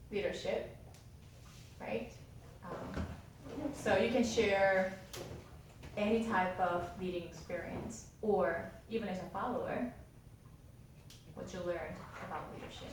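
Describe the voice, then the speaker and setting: A woman's voice: medium pitch, a little hiss or hum. Woman, lecturer, encouraging questions, echoey classroom, distant mic. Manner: encouraging, asks follow-up questions, laughs lightly. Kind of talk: classroom discussion.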